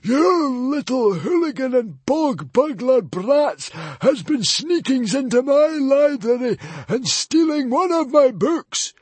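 A man's voice: extremely high pitch, expressive, takes it slowly, few pauses, a little mellow, breathy edge, dry recording, voice pushed up, exaggerated voice. A man voicing a hissing, whiny male librarian villain in an audiobook reading. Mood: menacing, screeching.

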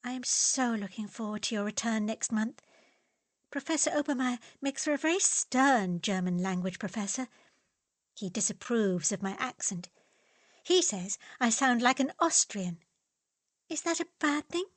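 A woman's voice: on the high side, expressive, moderate pace, no room sound, lightly acted. A woman reading as an earnest young letter writer. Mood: affectionate. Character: young English woman writing a heartfelt letter, earnest and eager.

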